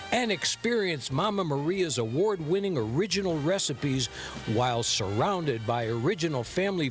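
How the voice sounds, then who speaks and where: Male American commercial announcer, lively swooping delivery of scripted ad copy over faint music. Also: fairly high pitch. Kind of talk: scripted restaurant advertisement read. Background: music bed.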